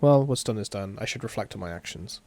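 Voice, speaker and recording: Male let's-play reader, lower register, theatrical and expressive, narrates game text with off-the-cuff reactions. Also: quick pace. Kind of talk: reading a visual novel aloud with reactions.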